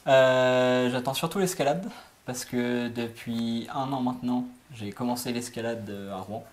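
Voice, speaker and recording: A man's voice: mid-range voice, unhurried, slight background noise. A man of twenty-one, a camp counselor, answering interview questions casually and hesitantly, with lots of filler sounds.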